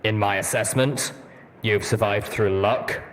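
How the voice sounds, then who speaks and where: A man playing a stoic, gruff armored ghoul stallion soldier, speaking in a measured, blunt, formal way in an audiobook story. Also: on the low side, fairly even intonation, fairly bright tone, a little hiss or hum. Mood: blunt, assessing.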